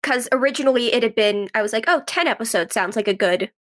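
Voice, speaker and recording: Female interview guest, slightly high pitch, informal conversational delivery with frequent "like". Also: fast, fairly bright tone. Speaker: guest, actress and writer. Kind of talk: casual interview chat.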